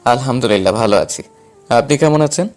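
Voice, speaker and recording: A man's voice: mid-range voice, expressive, brisk, darkish tone, lightly acted. A man playing a flustered, cheeky but timid younger brother in an acted audio story. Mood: uneasy.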